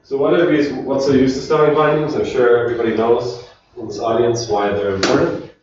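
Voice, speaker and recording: A man from Finland giving a relaxed technical talk to a meetup audience, chatting with the crowd. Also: mid-range voice, brisk, a little hiss or hum. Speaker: conference speaker. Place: large echoey meetup room. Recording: distant, roomy, dull room recording.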